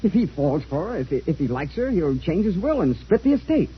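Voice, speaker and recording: Greedy, scheming young man, highish voice. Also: big pitch swings, fairly quick. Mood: resentful, indignant. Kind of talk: old-time radio drama acting. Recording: muffled, noisy old radio recording.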